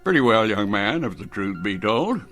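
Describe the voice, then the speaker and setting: A man's voice: fairly low pitch, sing-song, normal speed, a bit bright, faint music behind. An older man acting in a television drama as a stubborn, sly retired clockmaker with a wry, evasive way of talking. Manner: wry, evasive, answers questions with questions.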